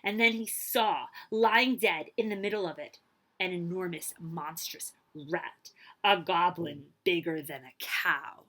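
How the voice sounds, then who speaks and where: A woman reading a children's story aloud as a librarian would, expressively and with suspense, dropping to a hush and stretching out key phrases. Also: lowish voice, measured pace.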